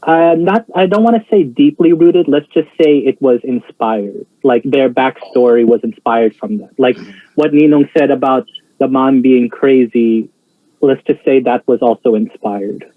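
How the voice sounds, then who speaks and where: A hesitant man talking over a reaction show, often pausing and restarting. His voice comes through thin and phone-like, with no room sound around it. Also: mid-range voice, dry recording. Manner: hesitant, many fillers and restarts. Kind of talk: casual chat. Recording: dry, tinny phone-like sound.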